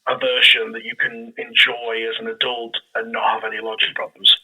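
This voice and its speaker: A man talking casually as an interview guest on a podcast, animated and acting out his own reactions as he explains his creative work. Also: medium pitch, brisk, slightly rough, rough recording.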